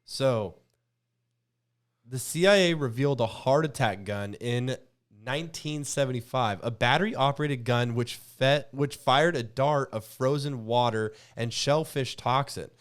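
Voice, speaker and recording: A man's voice: medium pitch, a little slow. A man co-hosting a podcast, chatting casually with joking, sarcastic swearing and reading posts aloud. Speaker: podcast co-host.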